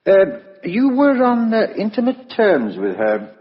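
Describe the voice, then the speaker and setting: Brisk British police inspector, muffled vintage radio. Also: male voice, high voice, wildly swooping pitch, in a large hall, full character voice. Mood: politely probing. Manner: businesslike, colloquial, politely probing. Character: brisk, genial but probing male police chief inspector. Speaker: radio actor. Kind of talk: 1950s radio acting.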